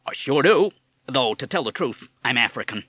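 A man's voice: a little high, big pitch swings, a little slow, fairly bright tone, dry recording, clean studio sound, pitched above the performer's own voice, full character voice. A man voicing a streetwise male parrot who talks in brash American gangster slang. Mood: cocky.

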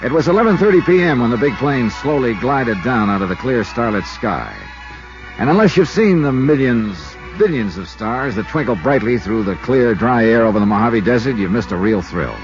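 Wisecracking 1950s radio investigator played by a man, American, clipped breezy narration, very lively intonation, dull vintage sound. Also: medium pitch, moderate pace, natural voice. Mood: wry narration. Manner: breezy, wry, clipped storytelling delivery. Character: wisecracking freelance insurance investigator, fond of fishing. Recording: band-limited 1950s radio recording with music.

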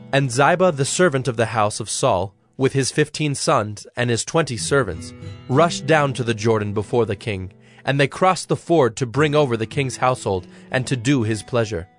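Male narrator for dramatized scripture. Mid-range voice, solemn, measured storytelling, light music bed. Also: medium pitch, lively intonation.

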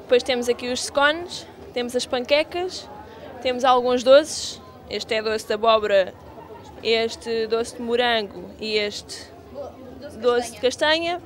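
A woman's voice: on the high side, slow. A young woman with a European Portuguese accent explaining items at an outdoor fair stand into a handheld mic. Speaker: student exhibitor. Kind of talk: casual explanation. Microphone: handheld reporter mic.

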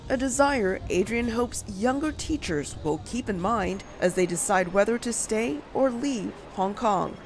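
A woman reporter narrating a news story in a measured, scripted delivery. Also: mid-range voice, animated, faint music behind.

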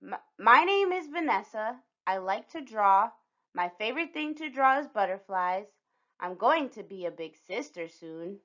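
A woman's voice: mid-range voice, big pitch swings, unhurried, fairly bright tone, muffled recording. A woman voicing a shy, self-conscious, earnest little girl in a storybook.

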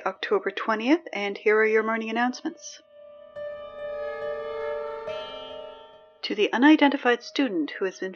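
A woman's voice: mid-range voice, close to an everyday voice. Woman playing chatty school announcer girl in an audio drama, kinda exasperated and wry, slightly muffled sound w music under it. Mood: upbeat. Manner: conversational, wry, hesitant asides.

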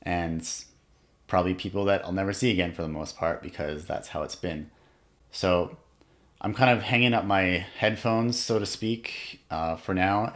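Earnest young man, low voice. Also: fairly bright tone. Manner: reflective, earnest, thinking aloud with pauses. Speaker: podcast host. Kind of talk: solo motivational podcast monologue. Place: small indoor room at home.

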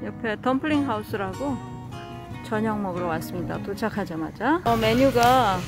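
A woman's voice: medium pitch, expressive, moderate pace. A middle-aged woman narrating a casual travel vlog. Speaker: vlogger. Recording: loud background music over phone video. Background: music, some vehicle noise.

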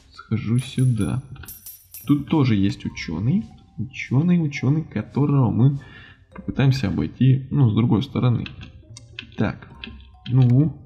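Gravelly, mellow man commenting on a game. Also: medium pitch, highs cut off. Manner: animated reactions, gasps and mutters to himself. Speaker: gaming channel host. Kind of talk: casual video game let's-play commentary.

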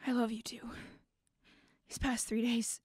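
A mellow-voiced young woman plays it guarded and understated, with wry remarks. She is acting in an audio drama. The recording is dry and close. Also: a little high, little pitch movement, a little slow, slightly rough, no echo. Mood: tender, resigned. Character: guarded, wry young adult hiding old love.

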